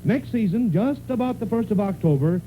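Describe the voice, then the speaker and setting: A man's voice: high voice, expressive, brisk, warm tone, slight background noise, poor audio. A male radio announcer reading a scripted vintage show announcement on an old recording.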